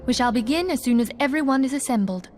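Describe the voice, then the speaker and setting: Fantasy game leader, high voice, formal, measured, solemn, earnest, music underneath. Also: female voice, expressive, darkish tone. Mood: composed. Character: composed, earnest woman leader of an order. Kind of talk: scripted fantasy game dialogue.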